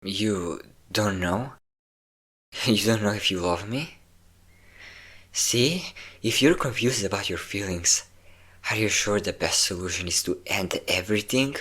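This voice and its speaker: A man's voice: fairly low pitch, lively intonation, measured pace, long pauses, bright, forceful tone, husky, heavily acted. A man playing a possessive, obsessive vampire lover in an intimate, dramatic roleplay audio drama. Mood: probing, persuasive.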